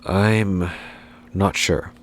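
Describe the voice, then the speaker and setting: A man's voice: low-pitched, level tone, very slow, noisy background. A man voicing an earnest young defense lawyer in a plain, questioning manner. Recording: game music behind.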